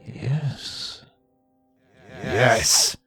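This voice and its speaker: Brief: male actor as ancient scheming entity, low and very slow, swinging melodically, urging conquest in a fantasy audiobook. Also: animated, creaky, sharp tone, breathy edge, faint music behind, heavily acted. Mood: measured approval. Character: ancient scheming power-hungry entity inside a man's mind.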